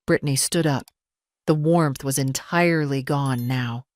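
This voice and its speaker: A woman with a low voice narrates a scripted story. The sound is dry, with hardly any room on it. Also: a little slow, no room sound.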